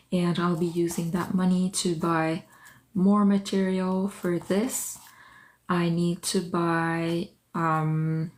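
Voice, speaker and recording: A woman whose first language is French chats live from her art studio. She takes her time and thinks aloud with small fillers. She warmly welcomes each viewer by name while she works. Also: on the low side, a little hiss or hum. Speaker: artist hosting a livestream. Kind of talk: casual livestream chat while working.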